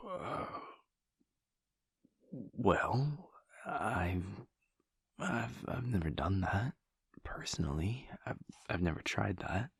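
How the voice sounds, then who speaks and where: A man playing a gentle, earnest vampire lover in an intimate audio roleplay, speaking in a halting, stammering, breathy near-whisper. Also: no echo, heavily acted. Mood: hesitant, soft. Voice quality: breathy, gravelly low voice.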